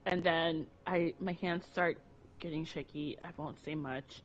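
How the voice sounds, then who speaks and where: A woman's voice: low-pitched, fairly even intonation, a little slow, slight background noise. A woman co-hosting a casual chat, talking candidly and rambling with many restarts. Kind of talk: casual conversation. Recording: dull lo-fi audio with faint music.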